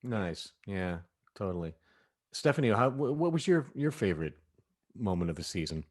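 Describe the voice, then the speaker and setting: Low-pitched man hosting a casual chat. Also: very animated, moderate pace, no echo, studio quality. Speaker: podcast host and cast member. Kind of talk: casual cast talkback chat. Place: remote video call.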